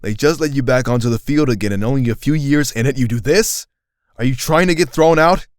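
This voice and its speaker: A man's voice: mid-range voice, a little fast, no room sound, studio quality, close to an everyday voice. A man playing a stern, skeptical guardian in an audio drama, scolding and exacting. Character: Dart, stern, critical, skeptical male guardian.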